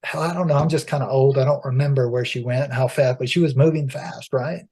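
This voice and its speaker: A man's voice: medium pitch, steady tone, fairly quick, warm tone, slightly rough, natural voice. A man voicing a forgetful, teasing old female river hag who rambles. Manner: rambling, teasing, feigned confusion.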